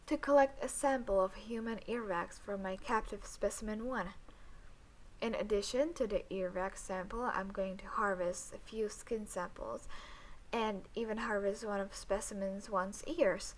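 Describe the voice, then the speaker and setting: A woman's voice: mid-range voice, slight background noise, natural voice, rough recording. A woman playing a detached female alien scientist in an audio roleplay, speaking clinically with playful hums and asides to the listener. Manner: clinical log-keeping, drawn-out hesitant hums and asides.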